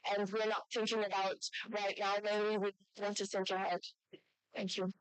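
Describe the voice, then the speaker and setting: A woman with a very clear, smooth voice speaks in a formal youth debate. Her pitch stays fairly even, and the sound is close and dry. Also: normal speed, dry recording, low-quality recording. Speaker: youth parliament participant.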